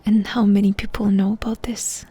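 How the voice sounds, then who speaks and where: A woman doing a soft-spoken ASMR roleplay, asking questions in an intimate, unhurried whisper. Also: mid-range voice, little pitch movement, breathy edge.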